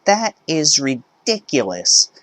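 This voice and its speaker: A loud, high-pitched entitled mother who scolds and demands without letting up, as a male storyteller puts her on. Her voice is pushed up well above his own and sounds strained and pinched, as if put on. The recording is dry and close. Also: huge pitch swings, slow, no echo, exaggerated voice, poor audio. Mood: indignant. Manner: indignant, demanding, shouting. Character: shrill entitled middle-aged mother shopper.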